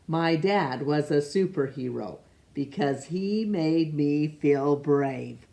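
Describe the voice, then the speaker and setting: A woman reading a picture book aloud to children, expressive and pausing to ask young listeners questions. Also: sits low, vocal fry. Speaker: storytime reader.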